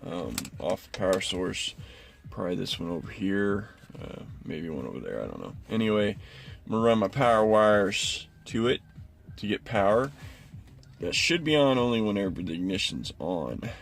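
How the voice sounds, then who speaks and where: A man's voice: fairly low pitch, very animated, moderate pace, creaky. A man hosting a casual, unscripted DIY car install walkthrough, explaining informally and in a rambling way. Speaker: DIY car video host. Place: in and around a car.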